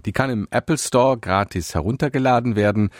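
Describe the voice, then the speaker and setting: A man presenting a radio news magazine, announcing items in a crisp, scripted way. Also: mid-range voice, brisk, dry recording, clean studio sound.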